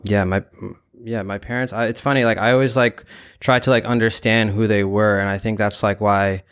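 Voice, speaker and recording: Smooth, even-toned man, halting and reflective. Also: lowish voice. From Brooklyn, New York; born in Ukraine. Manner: halting, reflective, heavy use of "like" and "you know". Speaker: interview guest, gallery founder. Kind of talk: casual conversational interview.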